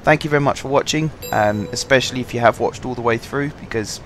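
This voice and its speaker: A man with a sore throat, slightly husky, casually commenting on a game through a headset, rambling and apologetic with many ums. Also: medium pitch, quick pace, a little hiss or hum. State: sore throat, fighting coughs. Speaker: gaming channel host. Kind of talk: casual let's-play commentary.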